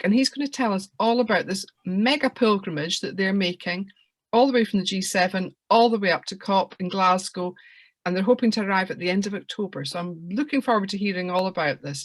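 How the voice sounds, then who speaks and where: A woman's voice: medium pitch, no room sound. Woman host introducing a guest on a call, welcoming manner, dry audio. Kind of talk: introducing a speaker.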